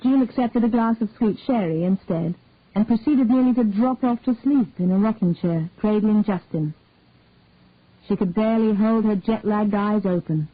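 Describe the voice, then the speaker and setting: A woman's voice: mid-range voice, normal speed, no room sound. A woman reading a story aloud as scripted narration, like an audiobook reader. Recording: narrowband, tinny phone-like sound.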